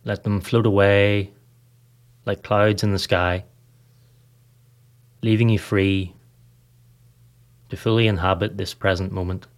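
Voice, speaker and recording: A man leading a scripted guided meditation in a calm, measured manner, leaving pauses between short phrases. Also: fairly low pitch. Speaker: podcast host, meditation guide.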